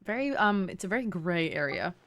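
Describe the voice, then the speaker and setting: A young woman reacts to a game as she streams. Her voice sits at a middle pitch and is bright and highly animated. She is casual, slangy and full of joking exclamations. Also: fairly quick. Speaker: game livestreamer.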